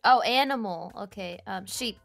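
A woman's voice: medium pitch, normal speed, vocal fry, a bit bright, faint music behind. A woman chatting casually and reading silly text aloud during an online group game. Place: online voice call.

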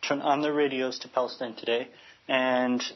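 A man's voice: medium pitch, fairly even intonation, moderate pace, highs cut off. A man from Chicago speaking earnestly as a radio interview guest, often restarting his phrases as he searches for words. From United States, Chicago.

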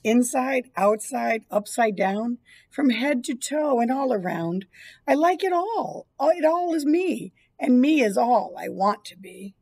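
A woman in her fifties with a fairly high, husky voice reads a children's storybook aloud. She delivers it as a teacher would when reading to young children. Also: expressive, unhurried, darkish tone. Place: small indoor school room or library.

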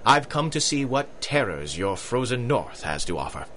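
An actor playing a pompous, jovial British colonel and big-game hunter in a radio drama, with hearty, old-fashioned upper-class talk. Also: male voice, medium pitch, very animated, normal speed, sharp tone, slight background noise.

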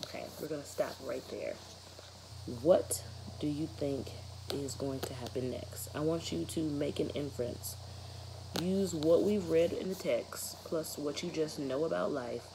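A woman's voice: sits low, measured pace, gravelly, noisy background. A woman in her thirties, a teacher reading a children's novel aloud and putting questions to students. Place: bedroom, indoors, sitting in bed.

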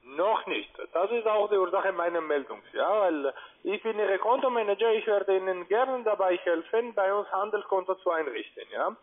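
A man with a foreign accent and a high voice on a tinny phone line. He makes a formal sales pitch as an account manager, halting often and using broken non-native grammar. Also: animated. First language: not German. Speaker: cold-calling account manager.

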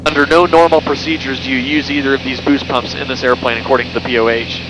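Young male pilot over engine drone. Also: mid-range voice. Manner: relaxed, conversational, with fillers and self-corrections. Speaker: pilot giving a cockpit tour. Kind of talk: casual explanatory walkthrough. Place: small airplane cockpit in flight. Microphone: headset boom mic. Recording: engine noise through intercom. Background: steady engine drone.

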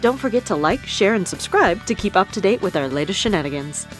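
A woman's voice: mid-range voice, expressive, fairly quick, faint music behind. A woman giving an upbeat, scripted sign-off for a channel.

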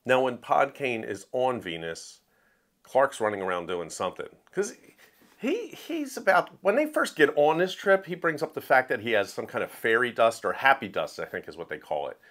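A man's voice: mid-range voice, big pitch swings, moderate pace, a bit bright. A man hosting a review show, talking in a casual, opinionated monologue and getting emphatic when he makes a point. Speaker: host of a book review show.

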